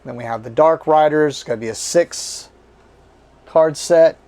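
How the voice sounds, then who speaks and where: A man's voice: fairly high pitch, darkish tone. Man with a tiring, strained voice. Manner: reads card names aloud in short halting bursts. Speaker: board game channel host. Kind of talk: casual unboxing commentary.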